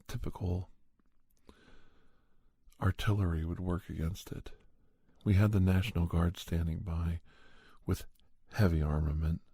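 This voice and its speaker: A man plays a calm doctor telling an ASMR monster tale in a low, mellow voice. He speaks very slowly and deliberately, with halting, measured phrases. The sound is dry and intimate. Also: slightly rough, dry recording, full character voice. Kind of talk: slow ASMR horror storytelling.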